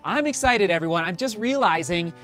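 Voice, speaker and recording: A man's voice: sits high, lively intonation, brisk, fairly bright tone, faint music behind. A man in his thirties, a running vlogger, talking casually and upbeat to a camera held at arm's length. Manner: upbeat, chatty, thinking aloud. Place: mountain summit, car, shop, indoor room. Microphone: action camera at arm's length.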